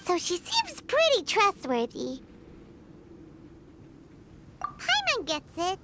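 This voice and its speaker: A woman voicing a small, cheery, childlike fairy companion in a video game, squeaky and talkative. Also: huge pitch swings, slow pace, very noisy, full character voice. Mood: puzzled, wary. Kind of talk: animated video game voice acting. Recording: prominent game music behind the voice. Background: music.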